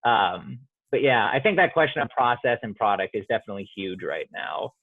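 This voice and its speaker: A man's voice: mid-range voice, expressive, brisk, slightly rough, dry recording. A man, a playwright, answering interview questions in a reflective way, with many fillers and restarts, over a remote call. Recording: tinny phone-like line, dry.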